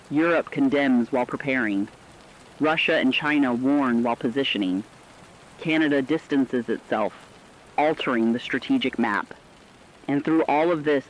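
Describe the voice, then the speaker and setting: A man playing a sober radio analyst, giving formal, deliberate commentary in a scripted emergency broadcast. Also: mid-range voice, highs cut off, slight background noise, natural voice.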